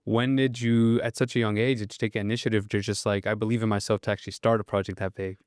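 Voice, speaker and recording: A man's voice: fairly low pitch, level tone, fast talker, a little mellow, clear, resonant tone, no room sound, studio quality. A young man, interviewing casually in blunt, slangy English mixed with Spanish. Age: young adult. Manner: slangy, blunt, frequent "bro" and "dude". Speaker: podcast host, interviewer.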